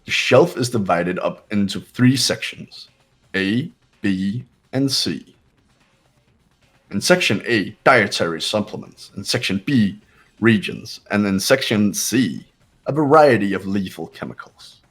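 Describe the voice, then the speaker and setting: Male player reading a game script aloud: low, husky, calm and measured, with music underneath. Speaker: player voicing a game character.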